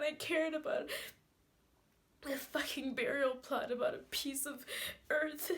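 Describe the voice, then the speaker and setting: A woman performing a dramatic monologue as a guarded, hot-headed grieving daughter, talking colloquially and haltingly, with repeated phrases. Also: fairly low pitch, creaky.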